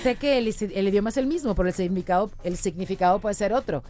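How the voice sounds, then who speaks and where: A woman's voice: mid-range voice, fast, mellow, faint music behind. A woman hosting a casual radio interview, eager and full of questions. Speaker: radio host.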